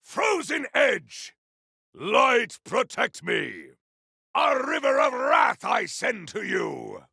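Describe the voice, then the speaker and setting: A man playing a zealous, boastful, battle-hungry warrior champion in a video game, with a raspy voice and bombastic, archaic delivery. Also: very high-pitched, huge pitch swings, very slow, bright, forceful tone, dry recording, raised voice, clean studio sound, exaggerated voice. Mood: battle fury. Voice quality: gravelly, raspy. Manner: bombastic, archaic, theatrical declamation. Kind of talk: video game combat barks.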